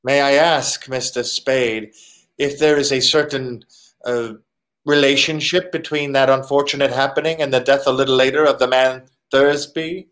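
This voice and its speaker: A man playing a courteous but menacing foreign gentleman crook in a 1940s-style radio drama, with formal, deliberate phrasing. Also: medium pitch, fairly even intonation, natural voice. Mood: polite, insinuating.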